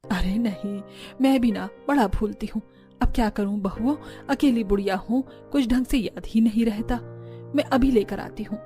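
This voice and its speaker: Woman doing forgetful old saas in a cartoon, soft dark tone, music behind. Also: on the high side, normal speed, lightly acted. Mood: apologetic. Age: older. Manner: self-deprecating, homely. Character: forgetful old mother-in-law welcoming brides. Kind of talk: Hindi cartoon story acting.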